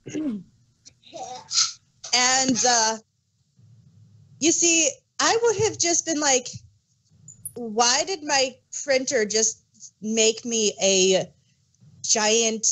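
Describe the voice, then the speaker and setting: A woman with a fairly high voice chats at a very unhurried pace as a podcast co-host. Her style is casual banter, full of playful, wry asides. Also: fairly bright tone, low-quality recording. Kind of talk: casual chat, joking banter.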